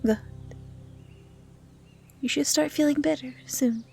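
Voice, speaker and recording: An actress plays a young female dryad in an intimate audio roleplay. Her voice is fairly high yet mellow and hushed, often close to a whisper. She is gentle, curious and caring, with music playing behind her. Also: steady tone, takes it slowly, gravelly, heavily acted. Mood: tender, caring.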